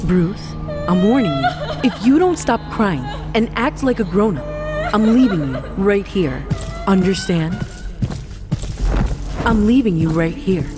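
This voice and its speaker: Exasperated mother played by a woman, animated pitch, sharp and scolding, threatening edge, for a dark audio drama. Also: measured pace, long pauses, over music. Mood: angry, scolding.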